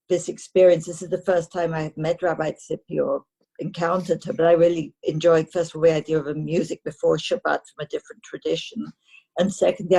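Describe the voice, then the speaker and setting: Husky, gravelly woman on a video call. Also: deep voice, warm tone. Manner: measured, polite. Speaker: event participant. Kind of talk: casual sharing on a video call.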